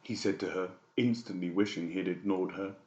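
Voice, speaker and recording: Very deep male narrator. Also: steady tone, a little fast, darkish tone. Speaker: audiobook narrator. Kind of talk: scripted fiction narration. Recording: dull, echoey room recording.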